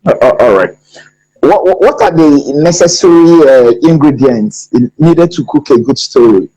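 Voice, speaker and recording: Male host, husky and somewhat high for a man, short stumbling questions. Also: animated, mellow, low-quality recording. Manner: brief acknowledgements, halting questions. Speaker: interviewer, show host. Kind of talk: interview questions.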